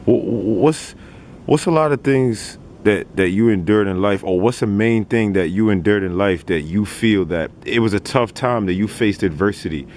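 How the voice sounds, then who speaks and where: A man's voice: on the low side, normal speed, a little mellow, slight background noise. A man interviewing a rapper, asking long rambling questions full of "like" and "you know". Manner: rambling, repeated "like" while asking. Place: outdoors in a city neighborhood.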